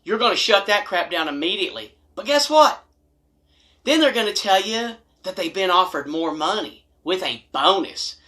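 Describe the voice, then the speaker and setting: American male vlogger for comic advice monologue: folksy and blunt, animated emphasis, bright forceful tone. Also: high-pitched, moderate pace. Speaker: video host telling stories and advice. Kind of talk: humorous storytime monologue to camera.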